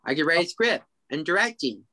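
Young man with a high-pitched voice. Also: very animated, fairly quick, bright, forceful tone. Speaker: playwright being interviewed. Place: indoor room on a video call. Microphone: computer video call.

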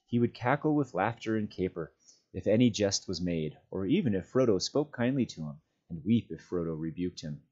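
A man reading a novel aloud as a volunteer storyteller, with expressive, dramatic narration. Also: low voice, normal speed, dull audio. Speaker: volunteer community reader.

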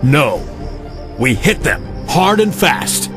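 An actor playing a ruthless, arrogant young heir to a warlord clan, speaking imperiously and with menace in a video game. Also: male voice, fairly high pitch, very animated, slow. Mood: commanding. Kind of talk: video game cutscene acting. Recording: dramatic game music behind.

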